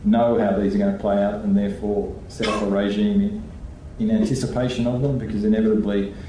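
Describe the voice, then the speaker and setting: A man, a regulator answering questions on a conference panel in a measured way, thinking aloud with restarts. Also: on the low side, flat delivery, noisy background. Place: conference hall. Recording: echoey hall, voice from far off.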